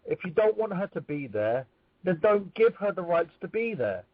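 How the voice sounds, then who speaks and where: A man's voice: high voice, very animated, moderate pace, dry recording. A man on a casual movie podcast, speaking bluntly and swearing freely. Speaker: show regular. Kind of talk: casual chat. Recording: dull, dry lo-fi sound.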